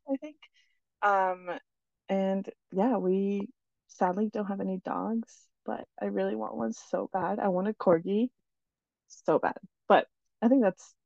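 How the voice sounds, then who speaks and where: A young woman who grew up in New Jersey, co-hosting a podcast and explaining things in a friendly, relaxed way over slightly muffled audio. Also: mid-range voice, vocal fry, no echo. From born in Ecuador, raised in New Jersey. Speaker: podcast co-host. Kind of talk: casual chat.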